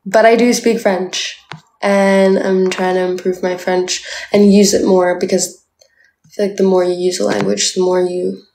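A woman's voice: medium pitch, flat delivery, normal speed, gravelly, a little mellow, echoey room, a little hiss or hum, low-quality recording. A young woman from Canada chatting playfully with viewers on a livestream, full of "like" fillers, filmed on a phone held at arm's length indoors. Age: young adult. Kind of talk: casual live chat with viewers. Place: indoors, small room.

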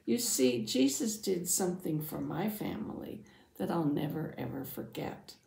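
Lady acting as a worried religious dad telling his bible story, slow, creaky voice, earnest and dramatic. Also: lowish voice, lively intonation, warm tone, heavily acted. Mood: earnest, warm welcome. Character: devout anxious father, synagogue leader, telling his testimony. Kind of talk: first-person Bible storytelling for families. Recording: roomy, echoing sound.

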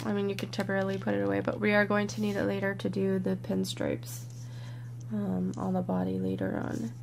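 A woman with a croaky, congested voice leads a step-by-step craft tutorial in a relaxed, chatty way, sometimes dropping to a near-whisper as she counts stitches. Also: mid-range voice, a little fast, slight background noise. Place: indoors at a worktable, overhead camera on hands.

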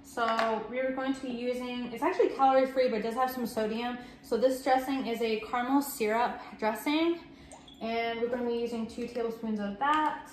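A woman's voice: a little high, steady tone, moderate pace. A woman in her thirties chatting upbeat through a step-by-step cooking demo in her home kitchen, talking to a camera set at table distance. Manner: chatty and upbeat, explaining as she works. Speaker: cooking vlogger. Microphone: fixed camera at table distance. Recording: echoey kitchen with faint noise.

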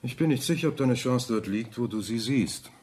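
An actor playing a rich, suave, scheming middle-aged rival in a radio drama, urbane, measured and condescending. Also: male voice, mid-range voice, darkish tone, a little hiss or hum, close to an everyday voice. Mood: cool, resigned.

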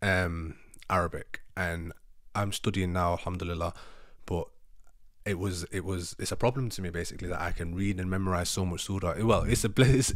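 A man's voice: deep voice, no echo, studio quality. A man in his thirties, a native Urdu speaker, chatting casually and reflectively to camera in a rambling, self-correcting way. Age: 30s. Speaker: vlogger. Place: living room sofa at home. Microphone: handheld microphone held close.

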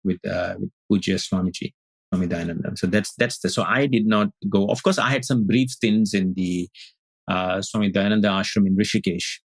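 Middle aged guy from singapore, husky voice, dry sound, halting guest on a call. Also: low-pitched, no echo. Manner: thoughtful, halting with many restarts. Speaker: guest, Advaita Vedanta teacher. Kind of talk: casual interview talk. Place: indoor room on video call.

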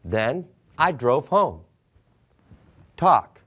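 An American man in his forties teaching English in a deliberate, enunciated way with simple scripted sentences. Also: mid-range voice, big pitch swings, dull audio. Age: 40s. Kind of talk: slow scripted language lesson with simple modelled sentences. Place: small classroom set, indoors.

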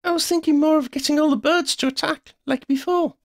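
Man putting on a very high-pitched bold young witch. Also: fairly even intonation, a little fast, no echo, clean studio sound. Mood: worried, urgent. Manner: shouting, urgent, exclaiming. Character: young woman Sophie, bold bantering broom-flying witch.

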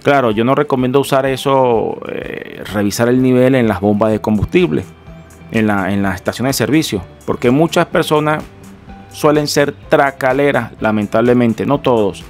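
A man's voice: medium pitch, normal speed, faint music behind. A middle-aged Venezuelan man, a mechanic, giving an earnest, emphatic car-care lesson in a Venezuelan Spanish accent and urging viewers directly. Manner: earnest, emphatic, repeatedly addressing viewers as gentlemen. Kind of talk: instructional workshop talk. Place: small home room, indoors. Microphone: headset.

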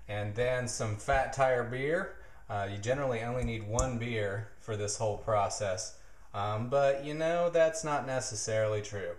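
A man in his thirties hosting a jokey home cooking video in his kitchen, rambling with deadpan asides, recorded on a nearby camera mic. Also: mid-range voice, roomy sound. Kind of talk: jokey mock cooking show. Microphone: camera mic, handheld or nearby.

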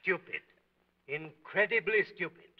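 A man's voice: high voice, unhurried. A man playing a stern, impatient middle-aged police prefect in a 1930s film, questioning people curtly. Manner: curt, questioning. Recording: muffled old 1930s film soundtrack.

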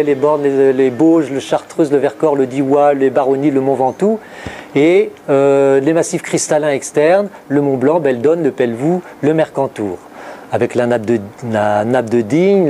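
A man's voice: fairly high pitch, dark tone, a little hiss or hum. A middle-aged man giving a geology lecture with slides, listing place names and correcting himself as he goes. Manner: lists place names steadily, frequent fillers and self-corrections. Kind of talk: university-style lecture with slides. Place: large lecture hall with blackboards, indoors. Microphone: room mic from a distant camera.

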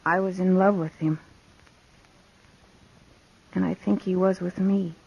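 An actress playing a fragile, well-bred young woman in distress, breathy, in 1950s radio melodrama style. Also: on the low side, steady tone, unhurried, dull audio, full character voice. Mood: wistful, troubled.

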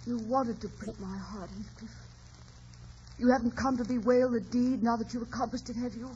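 A woman's voice: on the high side, steady tone, normal speed, dark tone, natural voice. An actress playing a wounded, reproachful woman, dramatic and theatrical, in a radio melodrama. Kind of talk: 1950s radio drama acting. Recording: dull, noisy old radio broadcast.

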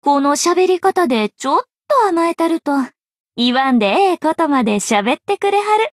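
A young female anime game journalist with a high, very animated voice and a Kansai accent. She is a breezy tease who fibs playfully, coquettish and lilting, in a dry, close recording. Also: normal speed, dry recording. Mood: teasing, coquettish. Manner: soft, coquettish, lilting dialect with playful teasing. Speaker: game voice actor. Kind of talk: Japanese anime game voice acting.

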